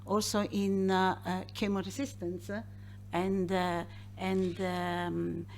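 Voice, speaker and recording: A woman introducing a guest speaker at a seminar, halting and pausing often with many filler sounds. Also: on the low side, level tone, creaky, a little mellow, a little hiss or hum.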